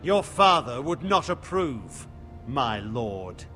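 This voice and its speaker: A man's voice: mid-range voice, sing-song, takes it slowly, pushed and bright, long reverb tail, soft background music, exaggerated voice. A man playing a combative veteran soldier who speaks bluntly and scornfully. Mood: bitter. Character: gruff hot-headed male veteran soldier.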